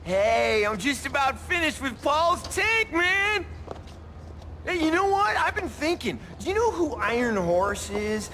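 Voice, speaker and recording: An actor playing an excitable young male bike painter in a game, talking in casual slang. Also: extremely high pitch, unhurried, heavily acted. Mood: eager. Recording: noisy, ambient sound behind.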